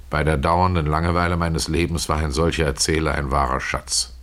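Deep-voiced, expressive male storyteller. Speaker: narrator. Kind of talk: literary story reading, first-person scripted narration.

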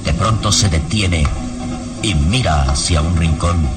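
A man narrating a radio drama in a suspenseful, deliberate, scripted style. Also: deep voice, very noisy. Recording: loud music under radio narration. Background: loud dramatic music.

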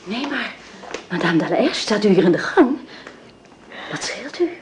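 A woman's voice: mid-range voice, very animated, normal speed, natural voice. An actress playing an earnest, anxious, dutiful young married woman in a Dutch radio play, speaking with worried restraint. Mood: earnest, urgent. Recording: noisy old radio play recording.

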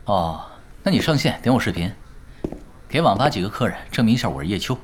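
Laid-back, animated young pro gamer. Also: male voice, on the low side, quick pace, a little hiss or hum. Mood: casual. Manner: laid-back, nonchalant, drily cocky. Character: unflappable, teasing young male pro gamer. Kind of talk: Chinese audio drama acting.

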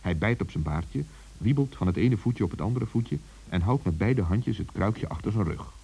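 Male children's storyteller: low, dark and husky, intimate, talking straight to the listener. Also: lively intonation, moderate pace, rough recording. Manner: intimate, addresses listeners and characters directly.

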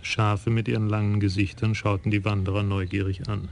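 A man narrating a radio crime drama in a measured, even storytelling voice. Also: lowish voice, gravelly, a little hiss or hum.

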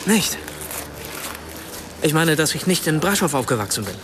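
An actor playing an earnest, self-doubting writer in a radio play, hesitant and searching for words. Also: male voice, on the high side, sing-song, moderate pace, noisy background. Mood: earnest, urging. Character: earnest, self-doubting German male writer, apologetic and probing. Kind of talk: naturalistic radio play dialogue.

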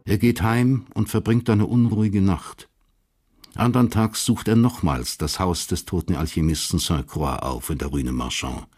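The sober narrator of a historical crime story on radio. His voice is deep. He reads the script deliberately and factually, with some soft music around it. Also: slightly rough.

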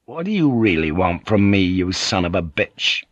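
A blunt, irritable European seafarer, as a male narrator plays him, full of firm opinions. His pitch moves around a lot, and the audiobook recording is close and dry with a dulled top end. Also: sing-song, moderate pace, dry recording, highs cut off. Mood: irritated, scornful.

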